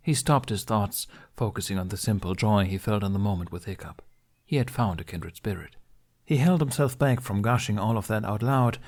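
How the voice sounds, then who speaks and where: Low-voiced man narrating a story. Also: darkish tone. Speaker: podfic reader.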